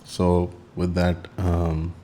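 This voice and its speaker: Low-voiced man hosting a casual interview. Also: monotone, extremely slow, warm tone. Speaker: podcast interview host. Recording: faint background noise.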